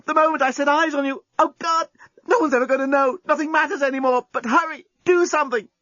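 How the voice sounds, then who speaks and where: Lucy, a breathless, gushing lovestruck woman, as a male narrator puts her on, shrill and loud, slightly muffled dry recording. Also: animated, unhurried, dry recording. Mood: frantic. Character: lovestruck, frantic young woman.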